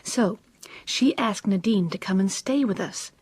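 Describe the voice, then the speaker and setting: A timid young woman in her twenties in a radio drama. Her voice is soft-edged and a little breathy, with a hesitant, submissive way of talking. The sound is dry. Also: medium pitch, measured pace, no echo. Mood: anxious, confiding. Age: 20s.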